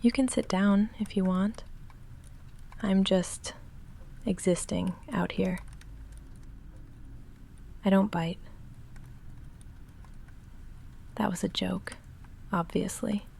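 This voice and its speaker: A woman playing a young goth vampire roommate in an ASMR roleplay, speaking softly and often whispering in a dry, halting, self-deprecating way. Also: mid-range voice, slight background noise. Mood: dry teasing. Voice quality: soft, often whispered, breathy fry.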